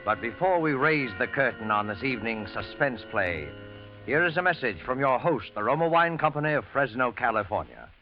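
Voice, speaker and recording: A man's voice: medium pitch, lively intonation, natural voice. A man playing a mysterious host of suspense tales in 1940s radio drama, formal and dramatic in delivery. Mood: dramatic, portentous. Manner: formal, dramatic, deliberate showmanship. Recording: dull vintage 1940s radio recording. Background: prominent music.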